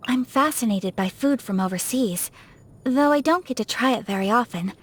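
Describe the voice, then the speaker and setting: A woman's voice: fairly high pitch, normal speed, lightly acted. A woman voicing a refined, reserved young noblewoman of a samurai clan in a video game, speaking formally and politely with poise. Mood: reserved, wistful. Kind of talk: scripted game character voice lines.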